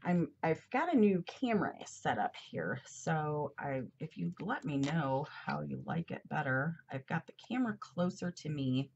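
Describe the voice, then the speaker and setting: A woman's voice: deep voice, very animated, moderate pace, vocal fry, few pauses, a little mellow, dull audio, slight background noise. A middle-aged woman chatting in a rambling, casual way while decorating a planner at her desk, a little congested. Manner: chatty, rambling, with fillers and asides. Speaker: planner vlogger. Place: at a desk indoors. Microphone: overhead camera.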